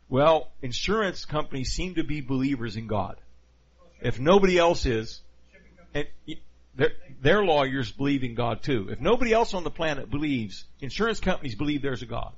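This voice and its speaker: A man preaching a teaching sermon in a conversational way, often putting questions to his listeners. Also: medium pitch. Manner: conversational, asks the audience questions. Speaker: pastor. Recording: dull lo-fi sound.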